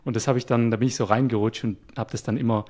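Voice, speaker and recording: A man as an interview guest, calmly explaining his work with a few fillers. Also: medium pitch, very fast. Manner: calm, explanatory, some fillers. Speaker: guest, web developer. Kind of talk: casual interview chat.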